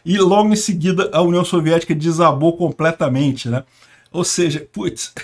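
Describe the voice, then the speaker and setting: A man's voice: on the high side, normal speed. A middle-aged man from Brazil hosting casual political commentary, sarcastic and emphatic with scornful asides, talking at a desk in a small room. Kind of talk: casual political commentary over screen share.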